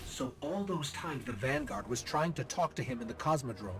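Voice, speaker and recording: A man's voice: medium pitch, animated, normal speed, natural voice. An actor voicing an earnest male fighter companion in a game, questioning and direct. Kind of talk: video game dialogue.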